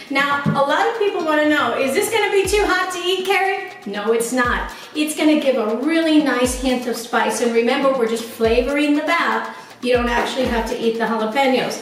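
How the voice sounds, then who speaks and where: A woman in her forties hosting a cooking show, talking viewers through each step in an upbeat, chatty way in her home kitchen. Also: high voice. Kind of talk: cooking demonstration to camera. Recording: very echoey kitchen, voice a bit distant.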